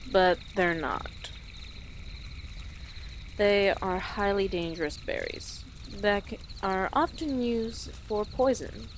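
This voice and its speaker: A woman playing a small, wry orc traveler in an immersive audio roleplay, gruff but caring, with a dry, hesitant, matter-of-fact manner. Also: mid-range voice, close to an everyday voice. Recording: clear outdoor nature ambience noise.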